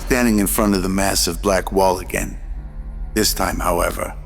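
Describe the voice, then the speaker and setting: Male narrator for audio drama: deep, very even delivery, music underneath. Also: moderate pace, gravelly.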